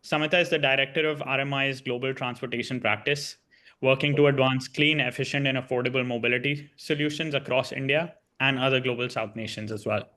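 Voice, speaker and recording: A man's voice: mid-range voice, fairly even intonation, brisk, bright, forceful tone. A man introducing the panelists, reading out their introductions in a formal, scripted way.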